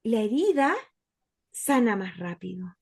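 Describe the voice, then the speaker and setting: A woman's voice: mid-range voice, sing-song, measured pace, darkish tone, breathy edge. A woman speaking as a guest in a casual conversation, reflecting aloud and sometimes stopping to search for words.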